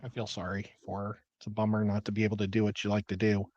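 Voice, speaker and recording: A man's voice: fairly low pitch, completely flat delivery, quick pace, a little mellow, no echo. A man in his fifties or older, telling everyday stories in casual chat as a livestream panelist. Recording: dry, dull lo-fi audio.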